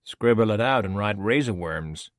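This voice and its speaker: A man voicing a blunt, weary male killer with dry, deadpan humor. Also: fairly low pitch, normal speed, no room sound, natural voice.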